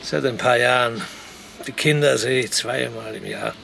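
A man's voice: mid-range voice, wildly swooping pitch, moderate pace, creaky, a bit bright, a little hiss or hum, exaggerated voice. An actor playing a put-upon, kindly servant in his fifties in a radio play, with a polite, hesitant, earnest manner. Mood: friendly, bashful. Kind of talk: naturalistic radio play acting.

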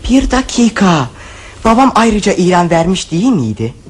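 An actress with a husky voice playing a cautious young sibling. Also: female voice, lowish voice, very animated, normal speed, a little mellow. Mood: eager. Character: practical sibling Filip, cautious. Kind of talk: radio drama acting.

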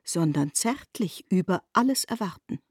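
A woman narrating a literary radio drama from a script in a measured, articulate storytelling manner. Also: on the low side, lively intonation, breathy edge, no echo, clean studio sound.

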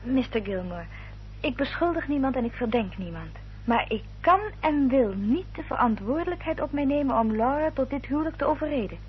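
A woman playing a resolute, guarded Victorian gentlewoman in a Dutch radio drama, speaking in a measured, formal, articulate way. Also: high-pitched, lively intonation. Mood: earnest, firm. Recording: dull old radio recording, hum and noise.

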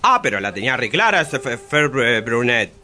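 A man's voice: medium pitch, sing-song, moderate pace, sharp tone. A man playing an amiable, doubtful criminal sidekick in an audio drama, drawling and chummy with an Argentine accent. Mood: incredulous, chummy.

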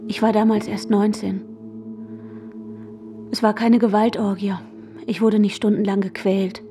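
An actress playing a distraught, insistent young woman searching for her missing fiancé in an intimate radio drama, often hushed and halting. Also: mid-range voice, flat delivery, lightly acted. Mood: numb, drained. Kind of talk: radio drama, intimate first-person narration and dialogue. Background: music.

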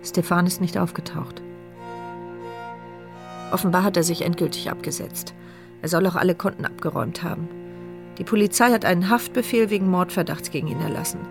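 Sardonic paralysed woman narrating her thoughts, lowish creaky voice, radio drama. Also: brisk, pauses often, music in the background. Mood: sober. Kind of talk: scripted radio drama monologue.

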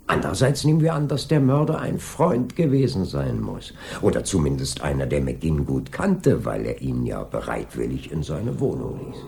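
Probing police inspector, widely varied intonation. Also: male voice, rumbling low, very animated, creaky, darkish tone, full character voice. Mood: deliberate reasoning. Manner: deliberate, measured, insistent questioning. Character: probing, methodical male police inspector, calm and insistent. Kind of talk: 1980s German radio crime drama acting.

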